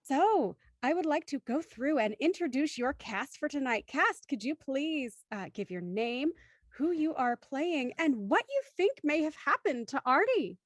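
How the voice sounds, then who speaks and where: High-pitched, bubbly woman hosting a livestream. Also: very animated, unhurried, dry recording. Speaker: host introducing an online show's cast. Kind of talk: livestream hosting, chatty banter.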